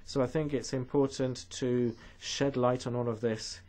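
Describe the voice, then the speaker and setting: A man's voice: mid-range voice, fairly even intonation, measured pace, a little mellow. A man from Canada giving an earnest, urgent talk against trade treaties at a conference. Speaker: conference speaker.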